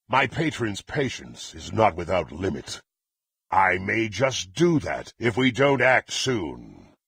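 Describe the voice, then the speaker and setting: Fantasy game villain warrior: low, heavily gravelled and husky, brutal and imperious, swinging widely in pitch. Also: male voice, a bit bright, dry recording, heavily acted. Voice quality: gravelly, raspy. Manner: threatening, imperious, archaic formal diction. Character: brutal, menacing half-orc warrior serving a demonic patron. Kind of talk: fantasy video game character acting.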